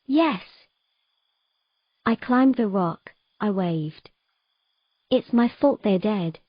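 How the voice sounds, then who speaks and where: A woman playing a sorrowful young mermaid in an audio drama, telling of her past in a gentle, measured, halting way. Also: medium pitch, animated, no room sound, muffled recording. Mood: guilt-ridden.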